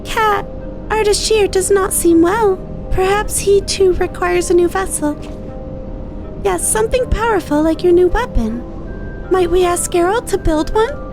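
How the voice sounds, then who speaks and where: A small game spirit in an extremely high voice. The creature sounds innocent and curious, speaking in formal, wondering phrases. Music plays clearly underneath. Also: female voice, unhurried. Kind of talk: game dialogue read-aloud. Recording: music behind the voice.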